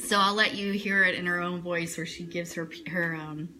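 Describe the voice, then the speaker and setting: Bright-voiced woman hosting a chatty show. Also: on the low side, fairly even intonation, normal speed, a little hiss or hum. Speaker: host of an Elvis fan radio show.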